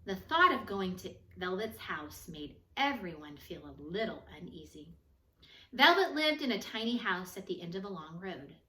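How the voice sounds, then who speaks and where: A woman reading a children's picture book aloud in an expressive, measured storytelling manner, with phrases that trail off softer. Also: fairly low pitch. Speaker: storybook reader. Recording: echoey room.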